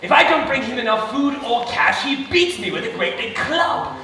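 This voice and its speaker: A man's voice: very high-pitched, animated, moderate pace, pushed and bright, recorded from a distance, a little hiss or hum, full character voice. A man playing a scheming, self-pitying henchman villain in a pantomime, hammy and theatrical. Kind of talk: British pantomime stage acting. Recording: very echoey theatre, picked up from afar.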